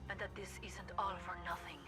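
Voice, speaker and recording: A woman's voice: on the low side, little pitch movement, brisk, loud music behind the voice, lightly acted. An actress playing a guarded, serious female spy in dramatic film dialogue. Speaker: film character.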